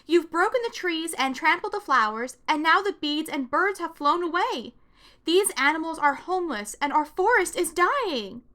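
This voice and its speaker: A woman's voice: very high-pitched, lively intonation, moderate pace, sharp tone, pitched above the performer's own voice, heavily acted. A woman reading a storybook as a small, brave, earnest girl pleading for her forest. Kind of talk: children's storybook read-aloud.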